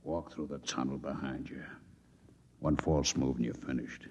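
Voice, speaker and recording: An actor playing a bullying, thuggish control agent who barks curt orders in a 1950s radio drama. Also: male voice, extremely low pitch, fairly even intonation, normal speed, a little mellow, lo-fi sound, a little hiss or hum. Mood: urgent, threatening. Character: menacing, bullying male control board agent, thuggish and leering. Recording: 1950s radio broadcast with music.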